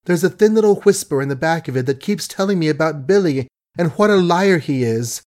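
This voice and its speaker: A dazed, weary young woman, as a male reader puts her on, her voice lifted well above his own and floating along in a soft, detached way. She sounds distant and strange, as though half somewhere else. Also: a little high, animated, brisk, voice pushed up, natural voice. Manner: soft, drifting, oddly detached.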